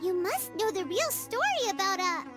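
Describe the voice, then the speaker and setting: Very high, squeaky little fairy girl. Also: big pitch swings, normal speed. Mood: bold, nosy. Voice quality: squeaky, childlike. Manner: bubbly, exclamatory, refers to herself by name. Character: tiny excitable childlike female fairy companion, cheeky and eager. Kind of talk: English video game voice acting. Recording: studio voice under game music. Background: game background music.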